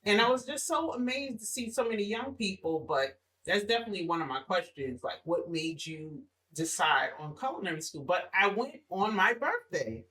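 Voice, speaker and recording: A woman's voice: mid-range voice, lively intonation, moderate pace, few pauses, fairly bright tone, rough recording. A middle-aged woman hosting a podcast, chatting casually with a guest, laughing often and restarting her sentences. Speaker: podcast host. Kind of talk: casual interview chat.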